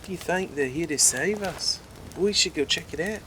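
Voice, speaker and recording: A man playing an eager, jumpy castaway, pitched higher than his narrating voice and pushing out his lines, swearing casually as he goes. The take sits under loud hiss with game clicks. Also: fairly even intonation, unhurried, lightly acted. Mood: excited. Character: excitable stranded adult man, hopeful and jumpy. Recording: loud background noise with clicks.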